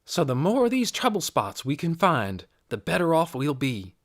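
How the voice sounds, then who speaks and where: An older small-town busybody woman played by an audiobook narrator, brisk and self-righteous. The delivery is emphatic and folksy, swinging widely in pitch as it preaches. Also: sing-song, no echo, clean studio sound. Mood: stern, determined. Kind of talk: audiobook character voice.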